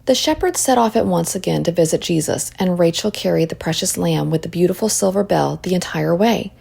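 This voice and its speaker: A woman reading a children's story aloud in expressive narration. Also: lowish voice, fairly quick, creaky, slightly rough.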